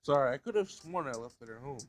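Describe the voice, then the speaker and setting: A man's voice: medium pitch, lively intonation, fast, slight background noise, natural voice. A man voicing a polite, bewildered young human with a hesitant, questioning manner in a visual novel.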